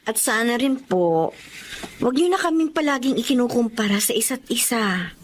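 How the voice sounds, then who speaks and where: A woman's voice: a little high, lively intonation, moderate pace, slight background noise, natural voice. A woman playing a caring, exasperated young career woman in a radio drama, with a Filipino accent and an earnest, emotional delivery. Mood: pleading, protective. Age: young adult.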